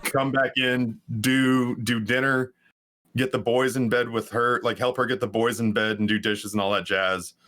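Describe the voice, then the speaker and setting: Bright-toned baritone man, rambling chat. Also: level tone, moderate pace. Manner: rambling, self-deprecating storytelling. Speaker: streamer guest. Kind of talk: casual podcast chat.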